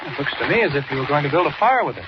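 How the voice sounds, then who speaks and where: An actor playing a cautious, earnest undercover newspaper reporter who asks probing questions in a 1940s radio drama. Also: male voice, a little high, big pitch swings, racing. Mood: wary, probing. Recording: dull, noisy old radio transcription.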